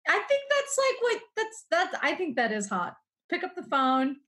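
High, lively American woman. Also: normal speed. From United States. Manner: blunt, animated, swears casually. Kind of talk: casual chat.

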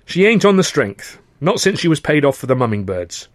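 Man playing a cheery young gent, chummy posh talk, higher pitched, dry sound. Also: big pitch swings, fairly quick, dry recording. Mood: sympathetic. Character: cheerful young upper-class man, jolly, gossipy.

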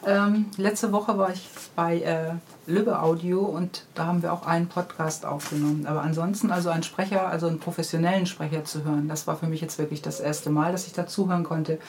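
A woman's voice: lowish voice, steady tone, brisk, hardly any pauses, a little mellow, slight background noise. A woman chatting casually and enthusiastically as an interview guest. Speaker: interview guest, children's book author. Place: studio.

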